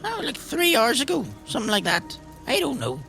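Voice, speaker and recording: Man playing a gravelly, evasive old pub drinker. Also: very high-pitched, huge pitch swings, sharp tone, faint music behind, exaggerated voice. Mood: flustered, defensive. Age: older. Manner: halting, defensive, repeats himself. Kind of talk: improvised tabletop roleplay.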